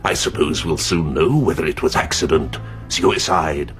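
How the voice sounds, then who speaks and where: An actor playing a wry, flippant college academic in a British radio drama, with a dry, teasing manner. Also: male voice, very deep voice, normal speed, fairly bright tone, faint music behind.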